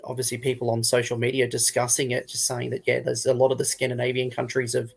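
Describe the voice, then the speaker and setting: A man's voice: medium pitch, monotone, fast talker, creaky, husky. A man talking casually as a panellist on a hobby livestream.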